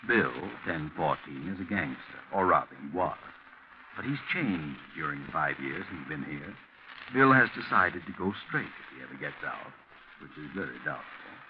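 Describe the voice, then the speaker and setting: A man's voice: very deep voice, sing-song, moderate pace. A man narrating a 1940s radio suspense drama in a brooding, dramatic manner, addressing the listener directly. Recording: noisy, muffled 1940s radio recording.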